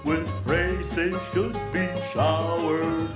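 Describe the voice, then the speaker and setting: A woman voicing a solemn cartoon jungle creature, singing in a slow theatrical way. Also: deep voice, little pitch movement. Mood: reverent. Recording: echoey, music with plucked strings.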